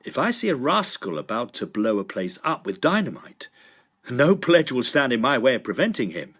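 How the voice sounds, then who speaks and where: A man's voice: medium pitch, big pitch swings, normal speed, fairly bright tone. A man playing a sceptical, self-assured young student in a period drama, with formal, articulate phrasing. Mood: dismissive, confident. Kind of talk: dramatised first-person period narration and dialogue.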